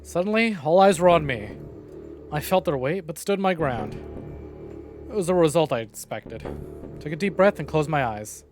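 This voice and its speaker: A male streamer reading a visual novel aloud with dramatic, expressive narration. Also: on the high side, normal speed, long pauses. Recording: game music playing behind.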